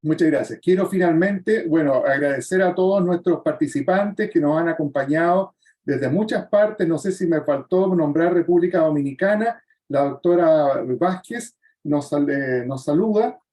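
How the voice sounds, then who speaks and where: A man moderates the close of an online webinar. His voice is a little high but mellow and soft-edged. He hesitates often between phrases. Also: normal speed. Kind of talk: closing remarks at a webinar. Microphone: video call.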